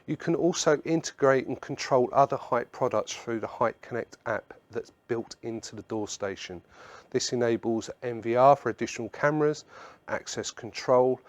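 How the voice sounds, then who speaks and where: A man presenting a product webinar in a measured, instructional way, explaining slides point by point. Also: mid-range voice, dry recording.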